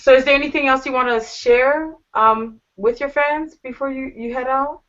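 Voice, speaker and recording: A woman's voice: high voice, moderate pace, a bit bright. A woman hosting a casual online panel show, gushing and flirty as she thanks her guests. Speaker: talk show host. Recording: dull lo-fi audio.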